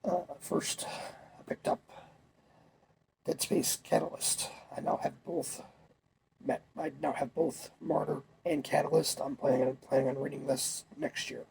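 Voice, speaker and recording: A man's voice: fairly high pitch, fairly even intonation, unhurried, creaky, darkish tone, a little hiss or hum, poor audio. A young man talking casually, a little congested while he gets over a cold, rambling with fillers and restarts. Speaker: vlogger. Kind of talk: casual chat.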